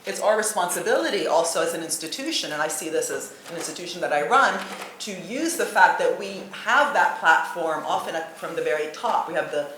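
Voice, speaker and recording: A middle-aged woman from Italy speaking on a panel as an institute head, emphatic and animated, with laughing asides. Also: lowish voice, brisk. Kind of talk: panel discussion. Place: packed lecture hall with audience. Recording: echoey room with faint noise.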